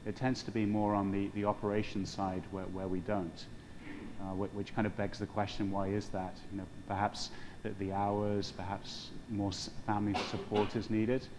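Middle-aged hotel manager, measured panel talk, lowish voice, lapel mic in a conference hall. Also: male voice, flat delivery, noisy background. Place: large conference hall stage.

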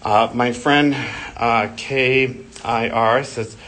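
A man's voice: medium pitch, fairly even intonation, takes it slowly, a bit bright. A man in his forties, losing his voice, chatting casually while walking a live-stream tour of a church building and reading viewer comments aloud, his phone held at arm's length. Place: indoor church building hallway.

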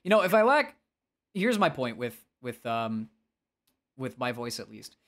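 A man's voice: medium pitch, big pitch swings, unhurried, a bit bright. A man with a nasal voice, talking casually and expressively as he livestreams a game and answers chat, often self-deprecating.

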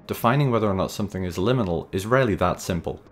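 A man hosting a video essay, reading scripted narration in a measured, wry, conversational way. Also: deep voice, expressive. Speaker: host and narrator of a video series. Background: background music.

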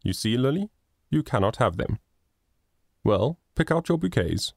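A man's voice: mid-range voice, sing-song, unhurried, vocal fry, no echo, studio quality, heavily acted. A man reading a storybook, voicing a cheery, teasing father in a bluff, hearty tone.

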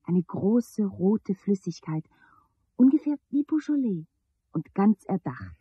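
A woman's voice: medium pitch, sing-song, takes it slowly, husky, no room sound, exaggerated voice. An actress playing a dreamy, tender woman recalling a strange past in a radio play. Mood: musing. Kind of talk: 1970s German radio play acting. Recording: dull, lo-fi old radio recording.

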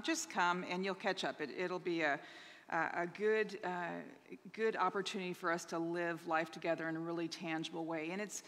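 A woman in her thirties leads a church service as pastor. She speaks earnestly, often restarting her sentences. Her voice sits at a middling pitch, and the sanctuary adds a long reverberant tail. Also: a bit bright. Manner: earnest, with frequent restarts and fillers. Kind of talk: church announcements to online congregation. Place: church sanctuary stage at a lectern.

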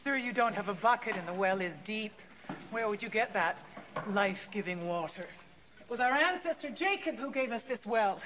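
A woman's voice: medium pitch, lively intonation, normal speed, natural voice. An actress playing a wary, direct, middle-aged village woman in a biblical film, plain-spoken and questioning. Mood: skeptical, challenging. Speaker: film actor. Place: outdoors, film location. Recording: film soundtrack with outdoor ambient noise.

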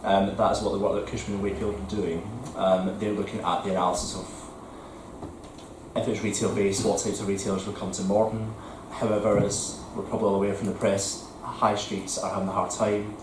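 A man answering councillors' questions at a meeting in a measured, explanatory way with frequent ums. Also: on the low side, little pitch movement, background noise. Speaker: council regeneration officer. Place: large council committee room. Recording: echoey committee room from far off.

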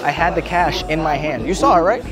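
Brief: young male vlogger, fairly high voice, relaxed slangy delivery, crowd and music loud behind. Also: a little fast. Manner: laid-back, slangy, swears often. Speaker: YouTuber host. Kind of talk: casual prank vlog chat. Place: indoor sneaker convention hall. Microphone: clip-on lapel mic.

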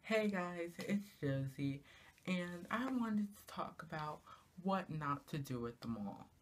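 A teenage girl vlogging from her small bedroom, talking casually to the camera and ranting in an exasperated way, her pitch jumping up on rhetorical questions. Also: animated, takes it slowly. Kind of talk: casual comedic rant to camera. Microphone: camera in front of her, at arm's length.

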